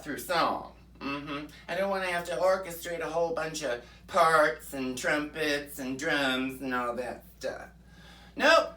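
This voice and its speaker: A woman's voice: on the low side, a bit bright, echoey room, distant mic. Woman playing a fiery folksy pundit lady. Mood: chatty gossiping. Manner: fiery, preachy, with drawn-out "mm-hmm" asides. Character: brash folksy right-wing woman pundit persona. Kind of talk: comic political rant in character.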